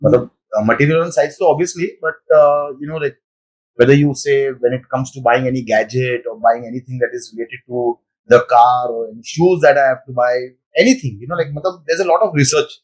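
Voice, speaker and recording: A man, a guest in a casual chat who talks quickly and mixes in Hindi words. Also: mid-range voice, poor audio.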